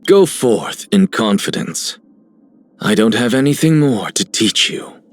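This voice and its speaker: A man playing a proud, aloof scholarly teacher in a video game, speaking deliberately and theatrically. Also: lowish voice, animated. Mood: aloof pride. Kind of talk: scripted game character voicelines.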